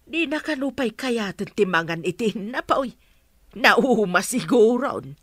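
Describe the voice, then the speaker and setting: A woman's voice: a little high, sing-song, measured pace, fairly bright tone, no room sound, exaggerated voice. An actress playing a mature married mother in an Ilocano radio drama, with an Ilocano accent. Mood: concerned, wry. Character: married mature mother gossiping about family.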